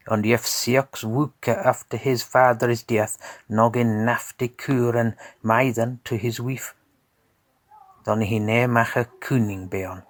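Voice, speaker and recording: Slow, low-voiced male storyteller. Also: no echo. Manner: measured, deliberate storytelling. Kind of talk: scripted story narration in Old English.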